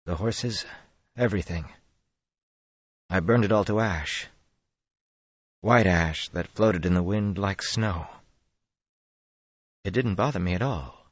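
A man voicing a haunted soldier who speaks in a flat voice, as in an audiobook. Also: low-pitched, slow pace, long pauses, slightly rough, dry recording, muffled recording, voice pushed up, heavily acted.

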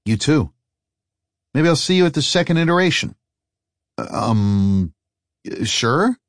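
A man's voice: mid-range voice, sing-song, takes it slowly, no room sound, voice pushed up. Audiobook role: uncertain, curious man tagging along , done by a narrator, tentative delivery, dry recording. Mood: hesitant. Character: hesitant, curious, apologetic male travel companion.

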